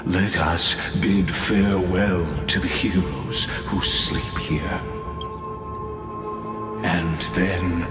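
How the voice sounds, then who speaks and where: A man's voice: low voice, steady tone. A man voicing a grandiose ancient sage in a video game, coldly philosophical and stately, with theatrical delivery. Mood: solemn, measured. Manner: slow, deliberate, stately delivery. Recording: echoey long reverb under loud music.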